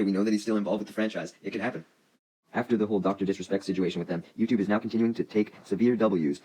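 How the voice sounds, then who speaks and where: Man, deep voice, rapid delivery, casual online news commentary, opinionated, informal and sweary. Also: a little mellow, rough recording.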